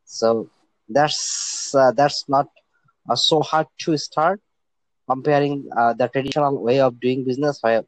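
A man's voice: mid-range voice, no room sound, poor audio. Hesitant young man from Bangladesh. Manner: hesitant, many fillers and restarts. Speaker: guest. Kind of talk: casual interview talk.

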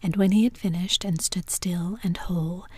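Woman, whispered story narration, breathy, low voice, dry close recording. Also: steady tone, normal speed, dry recording. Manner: soft unvoiced whisper throughout.

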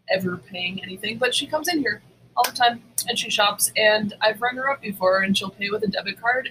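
Bright-voiced, blunt, sweary woman podcaster. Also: on the high side, a little hiss or hum, low-quality recording. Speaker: podcast co-host. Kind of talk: casual, profane storytelling chat.

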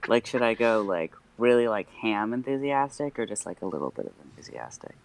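A man's voice: lowish voice, big pitch swings, fairly quick, gravelly, a little hiss or hum. Hesitant young man chatting casually. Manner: rambling, hesitant, many fillers, nervous laughs. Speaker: podcast co-host. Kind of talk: casual podcast chat.

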